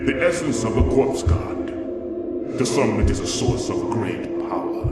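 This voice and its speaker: An actor playing a solemn, ghostly guardian in a video game, with grave, portentous delivery. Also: male voice, on the low side, animated, measured pace. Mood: solemn, urgent. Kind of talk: dramatic video game acting. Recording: very echoey, distant, music behind.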